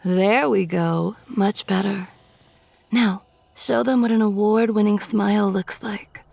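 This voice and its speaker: A woman playing a commanding, condescending aristocratic vampire in a dark comedy audio drama, sweetly menacing. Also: medium pitch, fairly even intonation, slow, creaky.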